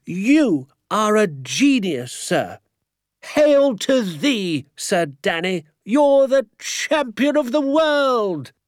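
A man voicing a tiny, excitable village doctor in a storybook, gushing in drawn-out exclamations. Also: high-pitched, no room sound, studio quality, voice pushed up, heavily acted. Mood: overjoyed, amazed. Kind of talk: storybook character voice.

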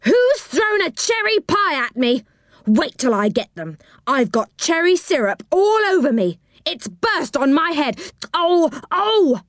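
A woman playing a bad-tempered man in a children's storybook, shouting and scolding indignantly. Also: fairly high pitch, big pitch swings, normal speed, no room sound, studio quality, pitched above the performer's own voice. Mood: angry, scolding.

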